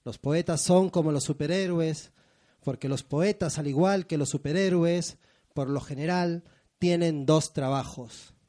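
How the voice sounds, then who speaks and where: A man's voice: fairly high pitch, measured pace, warm tone. A middle-aged man from Peru hosting a live poetry segment before an audience, moving from theatrical introductions to loose, self-mocking asides.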